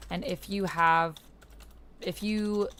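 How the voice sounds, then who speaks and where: A woman's voice: fairly low pitch, fairly even intonation, slow pace, a bit bright, slight background noise. A woman hosting a roleplaying game, chatting casually and expressively in slangy, informal language as she plays along. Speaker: host playing a text-based roleplaying game. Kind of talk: casual chat while playing along with typed roleplay.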